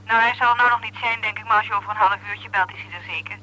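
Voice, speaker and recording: A woman's voice: medium pitch, fairly even intonation, very fast, sharp tone, close to an everyday voice, rough recording. An actress playing a friendly, obliging woman on the telephone in a Dutch radio drama. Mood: friendly, helpful.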